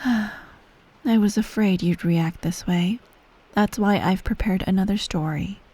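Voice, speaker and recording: A woman playing a menacing, coolly doting empress mother in soft, breathy ASMR roleplay, measured and formal, often near a whisper. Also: mid-range voice. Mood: quietly menacing. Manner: measured, formal, veiled threats, breathy sighs.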